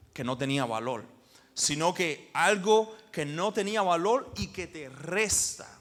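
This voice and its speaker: A male pastor preaches with conviction. His voice sits a little high, and he leans hard into key words before dropping low and quiet. The delivery is an impassioned sermon full of emphatic swells and pauses. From Cuba.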